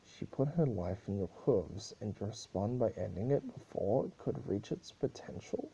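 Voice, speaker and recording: Casting: male reader for a stern pony princess, low and husky, accusing and declamatory, storybook drama. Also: very animated, normal speed, dark tone, dull audio, exaggerated voice. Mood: grieving anger. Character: stern, grieving, wrathful pony princess ruler, female. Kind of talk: amateur dramatic reading of fan fiction.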